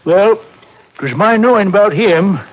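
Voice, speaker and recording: A man's voice: high-pitched, lively intonation, normal speed. A man playing a cagey, folksy old retired flagman with rustic, ungrammatical speech in a 1950s radio drama. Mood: reluctant, confessing. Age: older. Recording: dull vintage radio recording.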